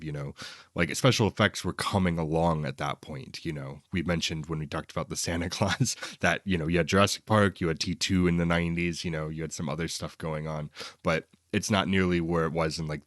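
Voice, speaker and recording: A man with a deep voice, recorded very dry and close. He talks about films as a podcast co-host in a casual, enthusiastic style, wandering from point to point. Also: a bit bright, no room sound. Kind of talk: casual film discussion.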